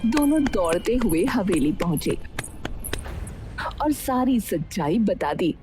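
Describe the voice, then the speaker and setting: A woman's voice: medium pitch, big pitch swings, takes it slowly, background noise. A woman narrating a cartoon moral story from a script. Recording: music behind the voice.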